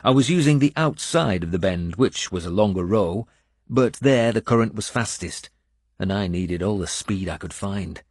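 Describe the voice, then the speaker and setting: A man reading a scripted first-person audiobook in a measured storytelling manner. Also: on the low side, animated, a little mellow, no room sound, clean studio sound. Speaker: audiobook narrator.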